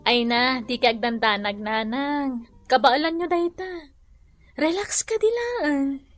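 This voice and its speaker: A woman's voice: high-pitched, animated, slow pace, fairly bright tone, soft background music, exaggerated voice. A woman acting a caring grown daughter in a radio drama, with an Ilocano accent. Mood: reassuring. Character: caring grown daughter soothing her mother.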